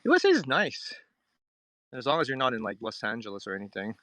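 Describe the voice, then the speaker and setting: A man's voice: medium pitch, huge pitch swings, quick pace, sharp tone, no echo. A man from Hong Kong live-streaming in a casual, musing, conversational way, reading comments aloud and replying to viewers. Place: outdoors at a riverside.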